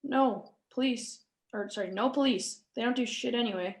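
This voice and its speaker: A woman's voice: a little high, normal speed, lightly acted. Woman reading as Dwayne, a stubborn battered boy. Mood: bitter, defiant. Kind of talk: table read of a screenplay.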